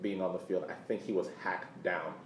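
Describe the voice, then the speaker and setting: A man's voice: low-pitched, steady tone, a little fast. A middle-aged man co-hosting a podcast, reminiscing casually about sport and repeating phrases for emphasis. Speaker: podcast co-host. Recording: roomy, distant mic, faint noise.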